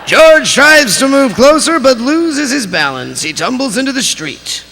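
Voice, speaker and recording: Very high creaky cartoon narrator. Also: male voice, very animated, a little slow, sharp tone, heavily acted. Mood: suspenseful narration. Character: excitable high-pitched male cartoon character narrating stage directions. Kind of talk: dramatic script narration in cartoon voice. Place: large convention hall stage. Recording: echoey convention hall PA, faint noise.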